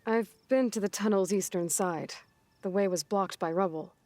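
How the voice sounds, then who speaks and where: A woman's voice: mid-range voice, normal speed, a little mellow, close to an everyday voice. A woman voicing a level-headed, skeptical young heroine in a video game, questioning in a pointed, understated way. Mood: sober. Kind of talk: video game cutscene dialogue.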